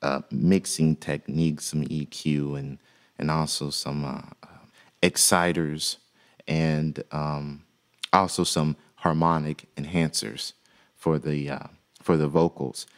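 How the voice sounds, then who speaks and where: A man with a deep voice teaching music production in a relaxed, folksy way, with many "uh" fillers. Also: slow pace. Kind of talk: casual software tutorial.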